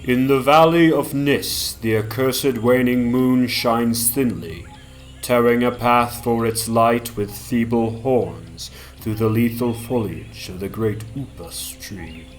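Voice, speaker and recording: A man narrating a gothic tale as a dramatic reading, with solemn, measured literary delivery. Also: medium pitch. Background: music.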